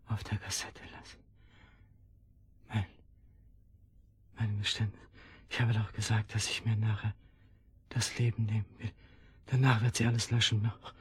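Radio-drama role: dying poisoned husband, gravelly, very hoarse, gasping, standard German. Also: male voice, fairly low pitch, no echo, studio quality. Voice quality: husky, gravelly, breaking into whispers. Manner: halting, groaning, gasping delivery. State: in pain, failing strength. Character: poisoned husband, desperate, weakening and in pain.

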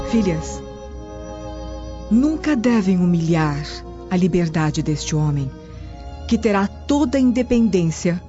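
A woman's voice: mid-range voice, lo-fi sound. A woman playing a dignified, cautious noble Roman matron in a radio drama.

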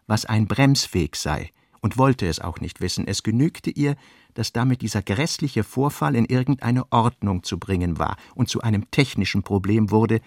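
Creaky-voiced man narrating literary prose. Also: fairly low pitch, darkish tone, dry recording, clean studio sound. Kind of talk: scripted literary narration for a radio play.

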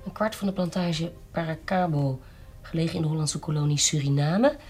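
An actress playing a resolute, scheming baroness in a period audio drama, speaking formally and deliberately. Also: female voice, sits low, a little mellow, close to an everyday voice. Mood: quietly curious. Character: resolute, scheming self-styled baroness, protective aunt.

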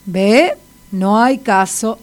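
Slow, stern Argentine doctor. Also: female voice, mid-range voice. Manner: firm, authoritative. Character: stern, no-nonsense female hospital doctor. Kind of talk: comic radio theatre acting.

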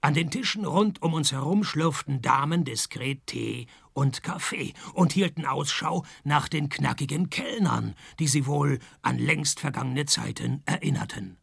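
A world-weary male private detective narrating his own radio play. The voice is badly rasped and crackly, pitched a little high. He tosses out dry, sardonic lines with a deadpan wit. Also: a little slow, few pauses, no room sound, exaggerated voice. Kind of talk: first-person radio play narration and dialogue.

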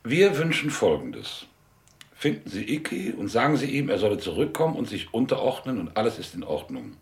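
An actor playing a middle-aged, elegant mobster in a German radio drama, businesslike and coolly threatening. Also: male voice, fairly low pitch, huge pitch swings, a little fast. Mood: businesslike threat.

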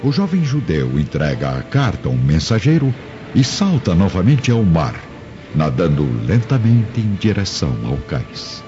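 Deep, animated Brazilian male narrator. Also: measured pace. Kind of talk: dramatic scripted radio drama narration. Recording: dull lo-fi with music behind.

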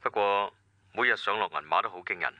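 A man's voice: on the low side, fairly quick, no room sound, lo-fi sound, close to an everyday voice. An actor with a Hong Kong accent playing a world-weary, stubborn businessman in a radio drama, speaking in a plain, colloquial way. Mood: persuasive.

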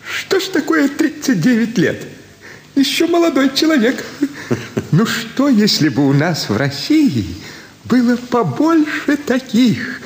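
A man's voice: sits high, a little hiss or hum, heavily acted. An actor playing a fawning elderly provincial landowner and doting father, effusive and sentimental in a classic stage radio play. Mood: flattering. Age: older. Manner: effusive, sentimental, flattering with many sighs. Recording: roomy stage hall with faint ambience.

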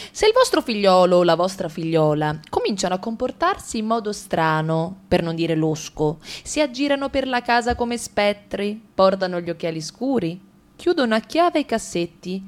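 A woman reading a literary text for radio theatre in a measured, ironic storyteller tone. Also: medium pitch, big pitch swings. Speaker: radio drama reader.

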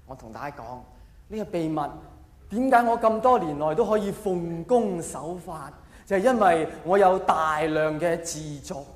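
High-pitched Hong Kong man doing stand-up. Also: lively intonation, normal speed. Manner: theatrical, exaggerated, with mock-earnest delivery. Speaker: stand-up comedian. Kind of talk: live stand-up comedy monologue. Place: large hall, live stage performance. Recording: echoey hall reverb, faint background noise.

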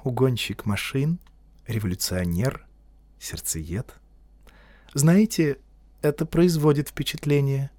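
A man voicing a poised, proud woman near forty who speaks softly with a lightly mocking edge. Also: medium pitch, takes it slowly, long pauses, no room sound. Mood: teasing.

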